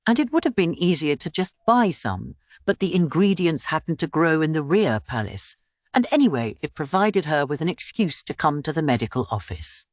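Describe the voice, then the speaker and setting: A woman's voice: sits low, very animated, normal speed, no room sound, studio quality. A woman reading scripted story narration for an audiobook.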